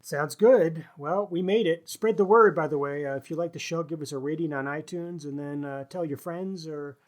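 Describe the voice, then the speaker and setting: A man's voice: a little high, moderate pace. A man co-hosting a podcast, speaking conversationally with a wry manner as he makes show announcements. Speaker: podcast co-host.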